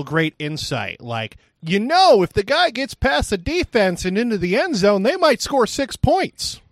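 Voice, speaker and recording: A man's voice: medium pitch, no echo. A man co-hosting a podcast, telling jokey anecdotes casually and acting out the quotes as he goes. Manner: wisecracking, acts out quotes. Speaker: podcast co-host.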